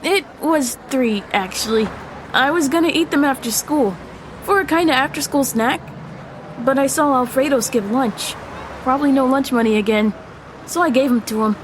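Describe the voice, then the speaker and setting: A woman's voice: sits high, moderate pace, slight background noise, close to an everyday voice, poor audio. A woman playing an earnest, kind, jittery middle school boy in an audio drama, with hesitant, slangy phrasing.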